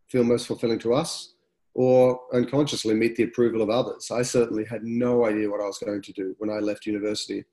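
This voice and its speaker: Aussie guy, middle-aged, stuffy nose from a cold, laid-back chatty webinar host, average pitch. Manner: relaxed, conversational, reflective. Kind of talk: casual fireside-style webinar talk.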